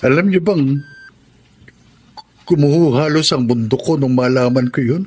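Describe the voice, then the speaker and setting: An actor playing a wounded, bitter husband in a Filipino radio drama, with an emotional, melodramatic delivery. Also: male voice, medium pitch, normal speed, a little mellow, husky, natural voice. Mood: hurt, subdued.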